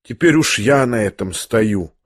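A man reading an audiobook, voicing a young man who is dying, speaking quietly and hoarsely in a weary, ironic calm. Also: lowish voice, no echo, studio quality, pitched below the performer's own voice. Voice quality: hoarse, near whisper. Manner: slow, weary, faintly mocking calm.

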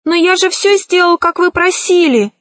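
A woman's voice: high voice, animated, unhurried, a bit bright, no room sound, voice pushed up. A woman voicing a cowardly, pompous male sorcerer, plaintive and trembling, in a children's storybook. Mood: offended. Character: whiny, cowardly, easily offended male sorcerer.

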